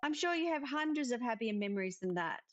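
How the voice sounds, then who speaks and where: A woman's voice: a little high, expressive, fast talker, dull audio, faint music behind, natural voice. A woman reading the part of a dead brother's earnest, emotional spirit in a visual novel, emphatic and pleading. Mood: pleading, insistent.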